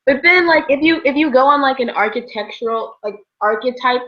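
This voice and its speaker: A young woman with a fairly high voice co-hosts a casual book chat. She speaks informally, as if among friends. The recording is a little dull and lo-fi. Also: a little fast. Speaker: book discussion show co-host. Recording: dull, lo-fi sound.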